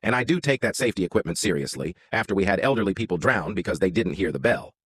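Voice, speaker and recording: A man's voice: low voice, expressive, fast, breathy edge, no echo, clean studio sound. A man reading out an online story as a scripted narration.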